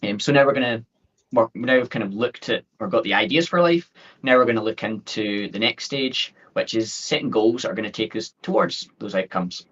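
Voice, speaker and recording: A man in his thirties with a thick Scottish accent, giving an upbeat, quick webinar talk full of fillers and restarts. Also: lowish voice, fairly bright tone, husky. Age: 30s. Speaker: guest speaker. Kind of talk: webinar presentation. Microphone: video call. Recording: dull lo-fi call audio.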